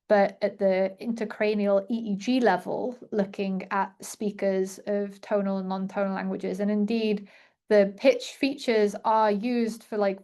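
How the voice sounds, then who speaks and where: A woman's voice: mid-range voice, a little mellow. A young adult woman answering questions after an academic talk, thinking aloud with many fillers and restarts. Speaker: invited speaker answering questions.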